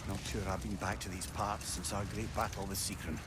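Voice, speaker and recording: A man's voice: on the low side, brisk, very noisy, lightly acted. A man voicing a wry, talkative older advisor in a video game. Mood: wry, reflective. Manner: wry, wordy, animated. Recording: game audio under loud music.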